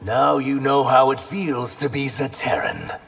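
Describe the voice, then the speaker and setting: A man's voice: mid-range voice, measured pace, creaky. A man playing an earnest, wounded outcast warrior in a fighting game, speaking in a guarded way. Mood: bitter. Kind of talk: fighting game dialogue acting. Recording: music over the voice.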